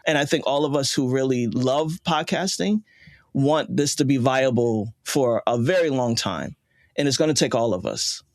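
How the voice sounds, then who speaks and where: Guy guesting on a podcast, medium pitch, very dry sound, encouraging and emphatic. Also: normal speed, no room sound. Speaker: podcast guest, independent audio creator. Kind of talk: conversational interview.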